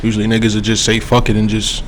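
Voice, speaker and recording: A man's voice: fairly low pitch, completely flat delivery, fast talker, a little hiss or hum. A man co-hosting a podcast, asking blunt questions in casual slang. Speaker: podcast co-host.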